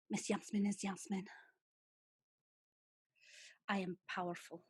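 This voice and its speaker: Woman doing a brash, foul-mouthed female alter with a husky, swooping voice. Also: medium pitch, no room sound, clean studio sound, full character voice. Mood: low menacing. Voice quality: swearing, brash. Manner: ranting, mocking. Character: coarse, furious female alter called the ugly one. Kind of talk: solo stage monologue.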